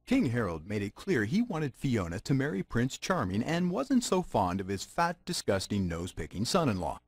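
A wry fairy-tale narrator for a video game. The husky voice is theatrical, with big swings in pitch and a dry, close sound. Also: male voice, sing-song, normal speed, dry recording, faint music behind. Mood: mock-grand. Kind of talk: scripted storybook narration.

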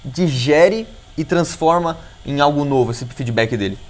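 A Brazilian man in his thirties hosting an interview on a couch, relaxed and asking long rambling questions. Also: on the high side, measured pace. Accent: Brazilian Portuguese. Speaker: interview host. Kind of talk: casual interview conversation. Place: indoor living room set on a couch.

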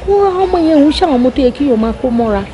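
A woman's voice: high-pitched, lively intonation, very fast, dark tone, soft background music. A woman playing a stern scolding mother. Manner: firm, scolding, switching Yoruba and English. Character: firm motherly Nigerian matron, stern counsel. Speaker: mother-in-law mediator. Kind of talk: Nollywood drama acting.

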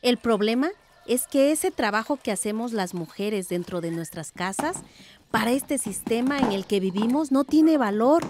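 A woman's voice: a little high, lively intonation, normal speed, a little mellow, slight background noise, close to an everyday voice. A woman playing a thoughtful, determined middle-aged village aunt in a radio drama, speaking earnestly and reflectively.

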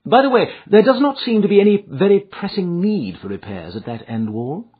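A man voicing a shrewd, commanding Victorian gentleman detective in an audiobook, speaking in incisive, precise phrases. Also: high voice, very animated, moderate pace, husky, pitched above the performer's own voice, exaggerated voice. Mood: inquiring. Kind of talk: audiobook character dialogue. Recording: muffled, thin phone-like audio.

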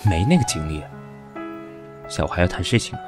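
A man acting in an audio drama as a cool, sharp-tongued professional who is weary and dismissive of his pushy lover. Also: low voice, big pitch swings, fairly quick, music in the background. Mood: dry, teasing.

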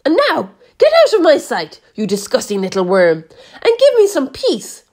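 A shrill, haughty aunt full of vanity and spite, as a woman reads her. The voice sits very high and leaps around wildly, sharp and sneering. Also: expressive, slow, exaggerated voice. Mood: screeching. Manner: screeching, haughty. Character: shrill, vain, cruel skinny aunt.

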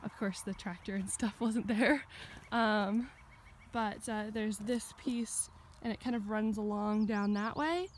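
A woman's voice: mid-range voice, a little slow, slight background noise. A woman in her twenties gives a casual, chatty walking tour of a farm and barn, filmed on a phone held at arm's length. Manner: chatty, upbeat, points things out while walking. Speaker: vlogger giving a barn tour. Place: outdoors on a farm and inside a barn.

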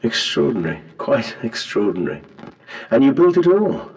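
An actor playing a courteous, admiring gentleman professor in a period video game, effusive and genteel. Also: medium pitch, big pitch swings, moderate pace, far from the mic, low-quality recording. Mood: admiring, concerned.

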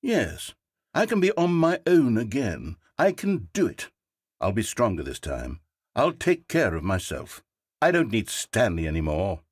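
British game narrator, wry and wistful, pitch swings all over, dry booth sound. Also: male voice, wildly swooping pitch, measured pace, slightly rough, dry recording, full character voice. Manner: wry, theatrical, swinging between wistful and sarcastic. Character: wistful, needy male narrator voice, self-absorbed. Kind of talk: scripted video game narration.

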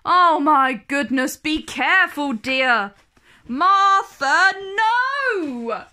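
Woman acting shrill, alarmed bossy nanny. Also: expressive, drawn-out words, sharp tone, exaggerated voice. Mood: alarmed, scolding. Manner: exclaiming, scolding, squawking.

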